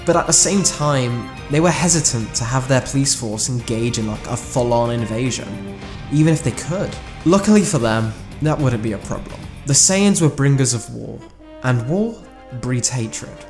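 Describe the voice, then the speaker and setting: Over clearly audible background music, a man delivers fan commentary in a mid-range voice. He sounds conversational, moving through scripted narration and slipping in wry asides. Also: mid-range voice. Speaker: video essay narrator.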